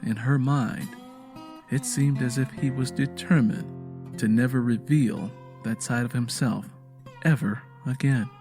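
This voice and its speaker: A man's voice: mid-range voice, fairly even intonation, unhurried, darkish tone, soft background music. A man narrating a scripted dramatic story as its storyteller.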